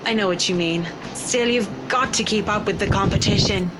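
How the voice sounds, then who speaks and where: A woman's voice: medium pitch, moderate pace, close to an everyday voice, low-quality recording. An actress playing a poised, professional female interior designer, composed and conversational in visual novel game dialogue. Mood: poised, friendly. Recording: music behind the voice.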